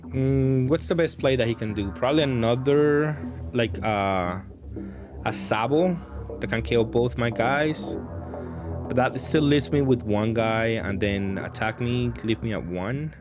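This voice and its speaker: A man's voice: mid-range voice, a little slow. A man giving casual, improvised commentary on his own card game, thinking aloud hesitantly and often trailing off. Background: background music.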